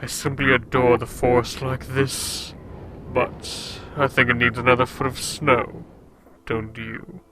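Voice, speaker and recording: A man playing a sadistic, mockingly sweet Frost King in a fantasy audio drama, with a slow theatrical delivery. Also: mid-range voice, little pitch movement, background noise, natural voice. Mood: smug, playful.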